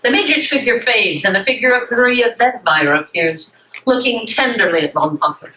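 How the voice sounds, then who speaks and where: A woman's voice: on the high side, animated, normal speed, bright, forceful tone, far from the mic. A woman reading stage directions as narrator, in scripted narration recorded in an echoey room. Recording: echoey room with faint noise.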